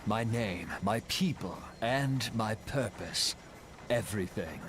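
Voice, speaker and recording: An actor playing a proud, reflective exiled engineer and airship captain in a video game, speaking in an earnest, measured, solemn way. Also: male voice, fairly low pitch, animated, noisy background. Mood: resolute. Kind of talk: dramatic video game voice acting.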